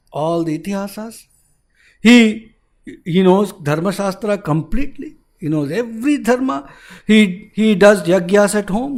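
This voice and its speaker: A man voicing a proud male king of the gods who lectures and warns emphatically. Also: high-pitched.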